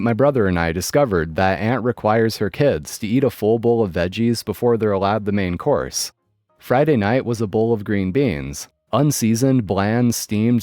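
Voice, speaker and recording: A man narrating online stories in a lively, dry storytelling manner. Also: fairly low pitch, dry recording.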